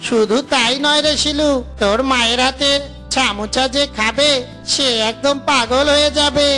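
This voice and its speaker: A woman's voice: sits high, measured pace, pushed and bright, soft background music. A woman voicing a jokey, boastful fox father in a cartoon, with a playful, exaggerated delivery. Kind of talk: Bengali cartoon voice acting.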